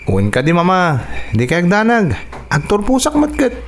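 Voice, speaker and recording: A man's voice: high voice, wildly swooping pitch, moderate pace, slight background noise. A man with an Ilocano accent playing an apologetic, evasive young student son in a radio drama. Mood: sheepish. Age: young adult. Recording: radio drama with music and insects.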